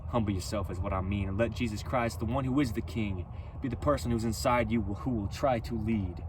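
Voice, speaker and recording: A man delivering a rhythmic, rhyming spoken-word freestyle with a preachy, emphatic and loud delivery. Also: on the low side, a little fast, noisy background. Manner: loud, near-yelling delivery.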